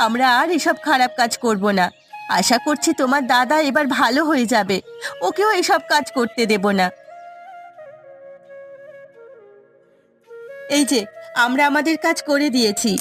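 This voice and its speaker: A woman's voice: high voice, animated, fairly quick, fairly bright tone, faint music behind. A woman voicing an anxious, remorseful village wife in a cartoon, plaintive and melodramatic. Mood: remorseful, resolved. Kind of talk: cartoon voice acting.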